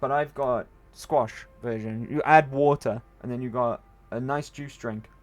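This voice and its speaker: A man's voice: medium pitch, fairly even intonation, a little slow, soft background music. A man from Wales with a Welsh accent, chatting casually to his viewers while streaming a game. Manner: rambling, chatty, answers chat. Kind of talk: casual gaming stream chat.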